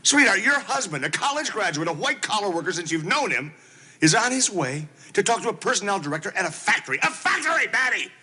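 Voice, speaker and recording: An actor playing a self-pitying husband who swings from whining to shouting in a comedy scene. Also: male voice, high voice, sing-song, fast, breathy edge, heavily acted. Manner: melodramatic, whining then shouting. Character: self-pitying, explosive middle-aged husband.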